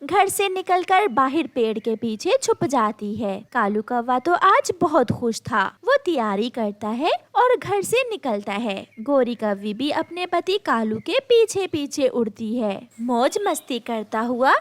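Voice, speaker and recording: High-pitched woman narrating a cartoon story. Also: sing-song, takes it slowly, hardly any pauses.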